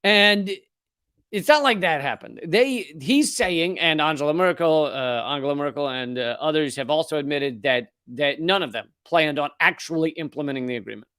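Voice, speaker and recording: A man's voice: a little high, normal speed, fairly bright tone, clean tone, no echo, studio quality. A man hosting a political comedy show, delivering sardonic, profane commentary with mocking put-on voices.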